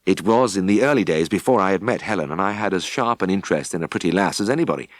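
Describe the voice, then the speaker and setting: A British man narrating a first-person story for an audiobook with expressive, scripted delivery. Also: deep voice, fast, no room sound, studio quality.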